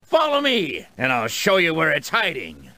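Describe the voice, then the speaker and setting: Gravelly, shifty treasure-hunting guide. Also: male voice, a little high, wildly swooping pitch, moderate pace, bright, forceful tone, no echo, full character voice. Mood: cornered, pleading. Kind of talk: animated game cutscene acting.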